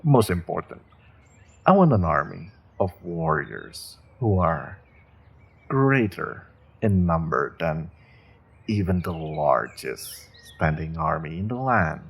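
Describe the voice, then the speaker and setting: A man playing a cruel rogue sorcerer in a fantasy audio drama, taunting a captive with mock politeness, often dropping toward a breathy whisper. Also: deep voice, very animated, exaggerated voice. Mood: scheming menace. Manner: slow, taunting, mock-polite, savoring threats with pauses. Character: cruel, menacing rogue sorcerer, male captor taunting his prisoner.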